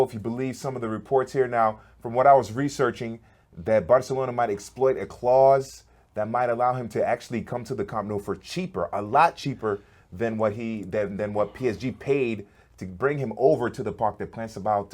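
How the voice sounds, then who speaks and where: Upbeat American man hosting sports chat. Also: fairly low pitch. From United States. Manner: upbeat, loud show openings, chatty. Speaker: sports show host. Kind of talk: casual sports talk show.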